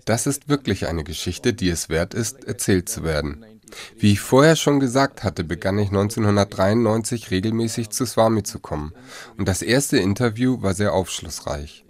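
Low-voiced man, calm translated narration, even delivery. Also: normal speed. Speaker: voice-over interpreter.

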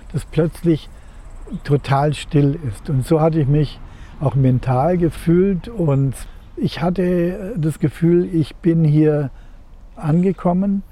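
A man in his seventies from Germany, recalling his life in an interview in a measured, reflective way, searching for words. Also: fairly high pitch, mellow. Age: 70s. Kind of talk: reflective personal recollection in an interview.

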